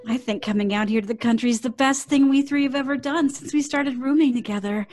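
A woman acts out a wholesome, dreamy young lady from an old radio play. She speaks in a high voice with mild, earnest sweetness. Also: fast, darkish tone, faint music behind, lightly acted. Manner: earnest, mild, slightly hesitant. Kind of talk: old-time radio drama acting.

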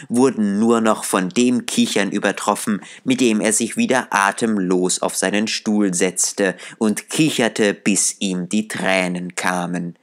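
A man narrating an audiobook in a calm, measured storytelling voice. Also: fairly low pitch. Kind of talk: scripted narration.

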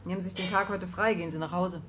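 An actress playing a dismissive hospital superior in a radio drama. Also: female voice, fairly low pitch, steady tone, very fast, lightly acted. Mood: sarcastic, dismissive. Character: dismissive female boss at a hospital. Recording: clearly noisy room ambience.

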